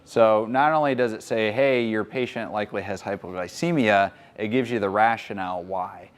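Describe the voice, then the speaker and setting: Man presenter, 30s, low-ish voice, casual walkthrough at a seminar, room mic. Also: normal speed. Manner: relaxed, chatty explaining with fillers. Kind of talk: conference presentation. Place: hotel conference room.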